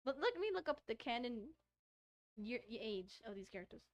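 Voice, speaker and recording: A woman with a fairly high voice streams a visual novel, reading it aloud in a casual way. She trips over words and repeats phrases as she goes, mixing in her own commentary. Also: big pitch swings, fairly quick. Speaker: gaming streamer.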